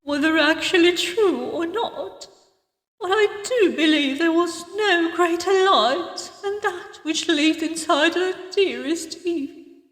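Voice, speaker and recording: A woman voicing a tender, grieving old woman in a visual novel, speaking slowly and haltingly with wistful sorrow. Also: sits high, lively intonation, a bit bright, voice pushed up, heavily acted. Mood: tearful. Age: older. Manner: slow, halting, sorrowful delivery. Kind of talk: visual novel character voice acting. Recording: echoey hall reverb, distant sound.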